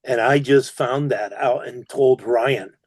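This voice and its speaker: A man's voice: medium pitch, slow pace, a little mellow, husky, no echo. Enthusiastic man, dry close sound. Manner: enthusiastic, loose storytelling. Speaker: podcast co-host. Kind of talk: casual podcast conversation.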